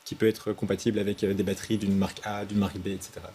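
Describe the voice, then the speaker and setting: A man's voice: on the low side, flat delivery, racing, warm tone, slightly rough. A man, a start-up founder giving structured technical explanations.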